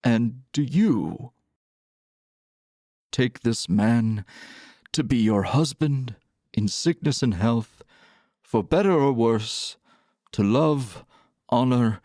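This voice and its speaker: Man as a slow-speaking, stuffy old-fashioned bishop. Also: medium pitch, darkish tone, no room sound, studio quality, close to an everyday voice. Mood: solemn, ceremonious. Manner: formal, fussy, ceremonious. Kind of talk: audio drama acting.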